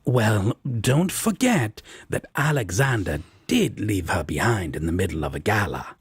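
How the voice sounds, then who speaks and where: Teasing devil roommate played by a man for audio drama: low, unhurried, fond and playful, close dry sound. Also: very animated, no echo, heavily acted. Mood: amused, teasing. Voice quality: husky, raspy low voice. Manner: drawling, teasing, fond nicknames. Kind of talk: roleplay audio drama, comfort scene.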